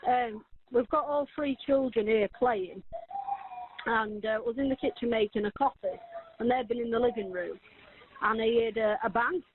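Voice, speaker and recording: A woman making an emergency phone call, halting and hesitant with many fillers. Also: a little high. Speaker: emergency caller. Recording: tinny phone line.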